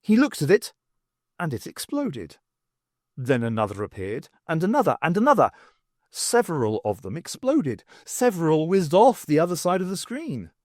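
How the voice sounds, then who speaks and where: A man reading an audiobook story as scripted narration, in an expressive, dramatised manner. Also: on the high side, normal speed, no room sound, studio quality. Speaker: audiobook reader. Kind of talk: dramatised reading with voices for characters.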